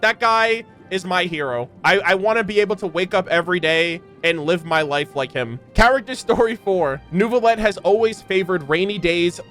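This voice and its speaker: A man's voice: on the high side, fairly bright tone. A man giving casual, enthusiastic reaction commentary in informal slang, mixed with reading game lore aloud. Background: background music.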